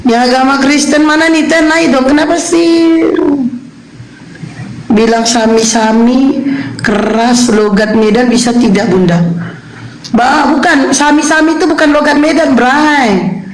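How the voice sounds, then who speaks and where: A woman hosting a live stream debate, briskly calling listeners up to speak and taking turns. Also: high voice, roomy sound, a little hiss or hum, rough recording. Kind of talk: casual live stream moderating.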